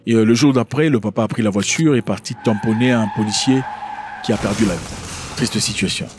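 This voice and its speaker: A man's voice: on the low side, fairly quick, background noise. A man whose first language is not French, commenting on a news video in a casual style, asking many rhetorical questions and often restarting his words.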